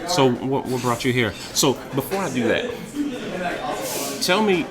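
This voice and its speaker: A man's voice: mid-range voice, expressive, unhurried, a loud crowd. A man from Detroit hosting a casual faith interview, curious and repeating answers back to his guest. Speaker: host.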